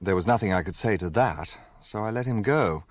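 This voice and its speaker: An actor playing an earnest, naive English gentleman, a detective's companion, speaking and narrating in a radio drama with a polite English accent. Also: lowish voice, expressive, fairly quick, no room sound, studio quality. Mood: rueful. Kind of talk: radio drama dialogue and first-person narration.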